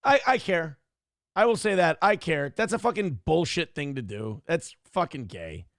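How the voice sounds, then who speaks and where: A man's voice: fairly high pitch, big pitch swings, moderate pace, fairly bright tone, no echo, clean studio sound. A man hosting a livestream show, chatting casually, swearing freely and reading out viewer donations. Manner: profane, reads donor messages aloud. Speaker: streamer and show host.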